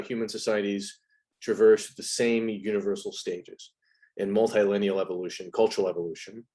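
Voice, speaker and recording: A man's voice: on the low side, darkish tone. A man speaking as an interview guest, explaining things casually with articulate, wordy, academic phrasing. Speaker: interview guest, video essay presenter.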